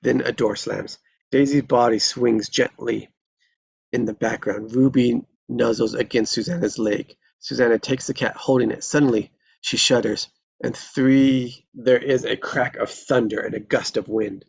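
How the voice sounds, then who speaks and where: A man reading screenplay stage directions as dramatic narration, in terse, deliberate phrases. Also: mid-range voice, steady tone, creaky.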